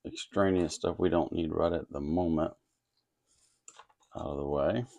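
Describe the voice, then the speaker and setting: A man with a low voice and plenty of gravelly creak hosts an informal electronics tutorial. He explains things step by step in a plainspoken, folksy way, narrating the work as he goes. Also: fairly even intonation, a little slow, a little mellow. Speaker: host of an electronics kit-building channel.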